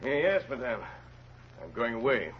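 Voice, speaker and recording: An actor playing a resolute, mysterious traveler in an old-time radio drama, terse, measured and quietly commanding. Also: male voice, medium pitch, sing-song, slightly rough. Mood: guarded, polite. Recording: muffled vintage radio broadcast.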